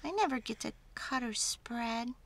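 A woman's voice: a little high, lively intonation, unhurried, gravelly, a bit bright, no echo, pitched above the performer's own voice, full character voice. A woman voicing a shy, self-doubting little boy spoon in a storybook, hesitant and whiny. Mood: glum, envious.

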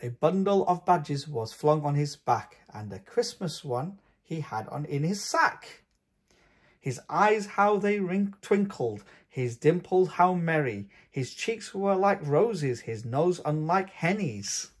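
Very animated man reading a children's storybook. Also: on the high side, takes it slowly, breathy edge. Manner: expressive, singsong storytelling. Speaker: host of a children's read-aloud channel. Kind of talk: storybook read-aloud for young children.

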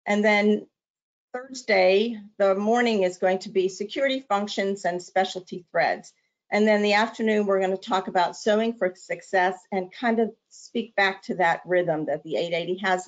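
Woman trainer hosting a webinar, upbeat and chatty, somewhat dull call sound. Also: medium pitch. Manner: upbeat, conversational with fillers. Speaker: sales and product trainer. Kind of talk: webinar presentation.

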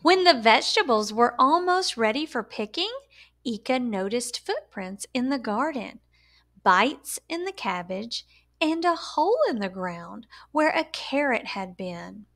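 Slow, high-pitched woman reading a storybook. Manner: animated, deliberate, emphatic storytelling delivery for young listeners. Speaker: storyteller reading a picture book aloud. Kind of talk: expressive children's read-aloud narration.

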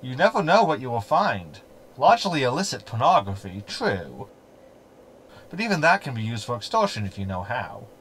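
A man's voice: mid-range voice, sing-song, moderate pace, a bit bright, a little hiss or hum. A man playing a snarky, meddling hologram in a sci-fi sitcom audio drama, talking in a glib, verbose, sardonic way. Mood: smug. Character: snarky, meddling male hologram ship companion.

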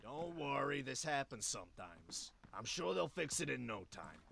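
A man's voice: mid-range voice, sing-song, normal speed, vocal fry, fairly bright tone, slight background noise, full character voice. A man voicing a grumpy, foul-mouthed, bossy rabbit in his sixties for a cartoon adventure game. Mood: dry, bossy. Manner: sweary, bossy, slangy. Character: grumpy foul-mouthed 63-year-old cartoon rabbit, scheming salesman, male. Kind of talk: voiced game dialogue.

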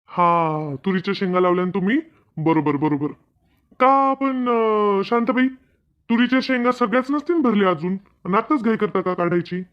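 An easygoing village husband from an animated comedy, voiced by a man. He talks in a homely, questioning way with a rural Maharashtrian Marathi accent. The audio is muffled and lo-fi. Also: high-pitched, moderate pace, clear, resonant tone, lightly acted. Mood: curious. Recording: dull, lo-fi audio.

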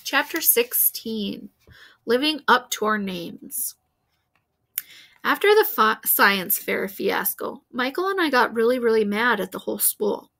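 A woman's voice: a little high, expressive, unhurried, gravelly, bright, forceful tone. A woman reading a children's book aloud expressively as a storyteller. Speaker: read-aloud reader.

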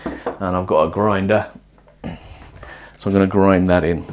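A man in his forties talking to camera while cooking in a small home kitchen, conversational and musing, with lively emphasis. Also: low-pitched, unhurried, noisy background. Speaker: presenter of a cooking and philosophy video. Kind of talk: casual explanatory talk to camera while cooking. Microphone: lapel mic.

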